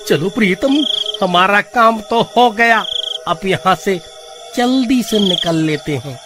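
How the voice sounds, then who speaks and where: A man's voice: high voice, lively intonation, slow, over music. A man voicing a timid, scheming village husband in a cartoon story, with a plaintive manner. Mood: calling, coaxing.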